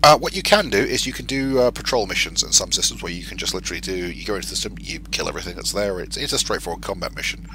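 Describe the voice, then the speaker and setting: A man's voice: sits low, lively intonation, very fast, hardly any pauses, sharp tone, a little hiss or hum. A man with a stuffy, snuffly voice from a cold, giving rambling, self-correcting commentary as he plays a game. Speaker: let's play gamer. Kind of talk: casual gameplay commentary.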